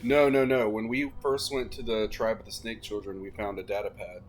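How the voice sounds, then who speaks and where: A man's voice: lowish voice, fairly even intonation, moderate pace, soft background music. A man playing a tabletop game, eager and explaining with fillers. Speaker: player.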